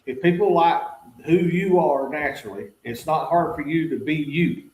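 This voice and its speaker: Country guy spinning plain spoken stories, kinda high voice, roomy echo. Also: moderate pace, darkish tone. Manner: folksy, plain-spoken storyteller. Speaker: guest. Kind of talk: casual chat.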